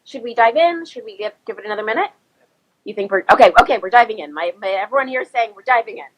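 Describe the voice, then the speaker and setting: A woman's voice: a little high, expressive, fast, breathy edge, rough recording. Woman host, thirties, small cold, sniffly, chatty, lots of ums, video-call audio. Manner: chatty, many ums and restarts. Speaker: host of an Instagram live chat. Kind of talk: casual live-stream interview. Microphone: phone or laptop, video call.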